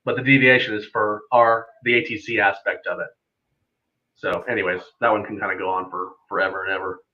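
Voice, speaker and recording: A man's voice: on the low side, fairly even intonation, brisk, pushed and bright. Man talking casually, slightly dull stream audio. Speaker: air traffic controller, live stream guest. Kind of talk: casual unscripted explanation with anecdotes.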